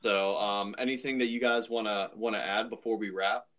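Lower-pitched man chatting on a podcast. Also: fairly even intonation, moderate pace, fairly bright tone. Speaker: podcast co-host. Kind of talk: casual podcast discussion.

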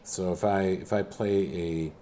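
A man in casual conversation about music, speaking haltingly with many restarts and a self-deprecating manner. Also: low voice, steady tone, dark tone, a little hiss or hum. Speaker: musician guest. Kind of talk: casual chat.

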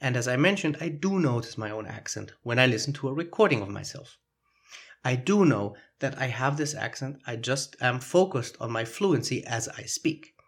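A man's voice: medium pitch, expressive, moderate pace, gravelly, slightly rough. A man with a foreign accent hosting a solo podcast, explaining his ideas in a reflective way. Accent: foreign accent in English. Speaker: podcast host.

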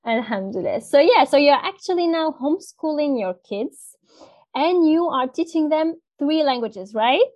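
A woman's voice: sits high, lively intonation, normal speed, a little mellow. A woman hosting an interview, asking curious questions in a casual, friendly chat. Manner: curious, laughs easily, many "like" fillers. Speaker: interview host.

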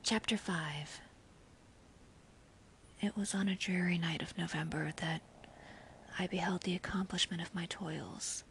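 Theatrical woman narrator over rumbling noise. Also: steady tone, measured pace, pauses often. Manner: theatrical, intense, often hushed and breathy. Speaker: audiobook reader. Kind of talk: dramatic narration of a gothic novel.

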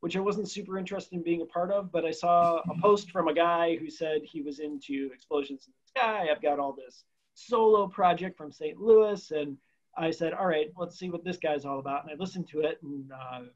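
Band dude telling the backstory, kinda high voice, muffled lo-fi sound. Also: male voice, moderate pace. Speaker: band member guest. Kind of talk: casual chat.

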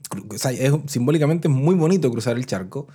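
Chilean guy hosting his podcast, warm mellow voice, chatty, keeps restarting his sentences. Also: mid-range voice, animated, brisk, husky. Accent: Chilean Spanish. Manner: chatty, restarts phrases, reads out listener messages. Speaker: podcast host. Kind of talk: casual podcast talk.

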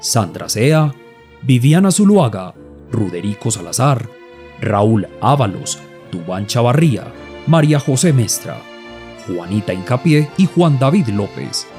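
Locutor de voz oscura y suave. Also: male voice, medium pitch, big pitch swings, measured pace, darkish tone. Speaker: announcer. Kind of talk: scripted radio drama credits. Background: music.

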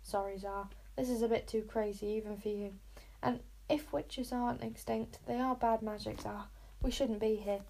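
A woman's voice: a little high, fairly even intonation, normal speed, gravelly, warm tone, slight background noise. A woman voicing nervous young wizards who mutter apologies as they desert. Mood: uneasy.

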